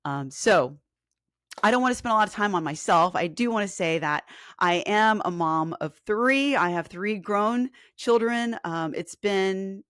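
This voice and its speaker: A woman in her forties, a fitness coach, talking casually on a live stream with a hoarse, scratchy voice and lots of ums. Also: medium pitch, animated, unhurried, a bit bright, no echo, studio quality. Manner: chatty and earnest, with filler ums and restarts. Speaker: fitness coach and podcast host.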